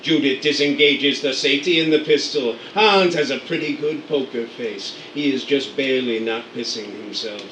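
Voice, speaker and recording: A man's voice: mid-range voice, moderate pace, few pauses, fairly bright tone, far from the mic. Man reading stage directions. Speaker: narrator. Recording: echoey room, off-mic, faint noise.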